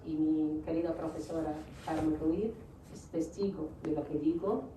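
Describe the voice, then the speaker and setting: A woman's voice: sits low, warm tone. Iraqi-accented woman in an echoey hall. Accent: Iraqi Arabic. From Iraq. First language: Arabic. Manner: hesitant, many fillers. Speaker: writer and panel guest. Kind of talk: panel talk. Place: lecture hall. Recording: roomy far-off mic, faint noise.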